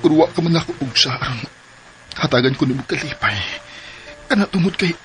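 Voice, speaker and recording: Soft music runs under a devoted young man pleading his love. He is earnest and protective, speaking in a Cebuano Filipino accent with heartfelt radio-drama delivery. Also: on the high side, animated, fairly quick. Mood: earnest, loving.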